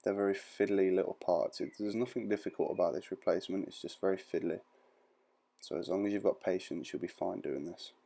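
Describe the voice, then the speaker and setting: A man with a very low, gravelly voice narrates an informal how-to. His tone is soft-edged and dark. He explains each step in plain, reassuring language, like a tutorial presenter. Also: steady tone, fairly quick. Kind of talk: informal step-by-step instructional voice-over.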